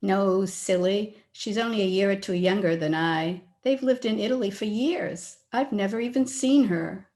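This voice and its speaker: Woman acting poised, teasing young lady, medium pitch. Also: moderate pace, few pauses, darkish tone, close to an everyday voice. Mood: light, evasive. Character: self-possessed, lightly teasing young woman of marriageable age. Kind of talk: old-time radio drama acting. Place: at home, recorded over a video call.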